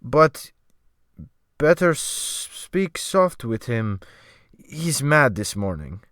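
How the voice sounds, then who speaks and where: A timid, honest Scandinavian seaman, as a man acts him out in an audiobook. He speaks slowly and methodically, picking through his words with a slight accent. The sound is clear and dry. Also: mid-range voice, sing-song, fairly bright tone, no echo, pitched above the performer's own voice, full character voice. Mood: cautious. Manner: slow, methodical, groping for words. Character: timid, frank, slow-spoken Scandinavian sailor. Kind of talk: dramatic audiobook character voice.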